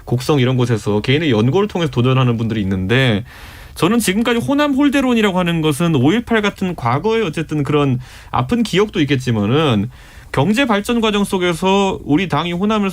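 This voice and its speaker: A man's voice: fairly high pitch, animated. Earnest man in his thirties debating. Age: 30s. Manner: measured, earnest argument. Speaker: political panelist. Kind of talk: radio debate. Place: radio studio.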